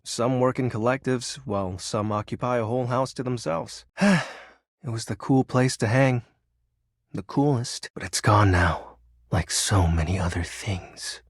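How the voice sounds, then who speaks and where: A man's voice: mid-range voice, a little slow, husky, no echo, studio quality. A man voicing a shy, nerdy living shadow in a video game, sounding earnest, hesitant and a little wistful. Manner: awkward, hesitant, sheepish. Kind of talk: video game character dialogue.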